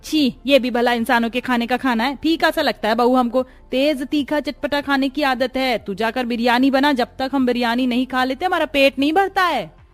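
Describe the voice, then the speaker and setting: A domineering middle-aged mother-in-law from a cartoon tale, acted by a woman. She is greedy and scolding, giving commands in a high voice with music behind. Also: moderate pace, natural voice. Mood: disgusted, insistent.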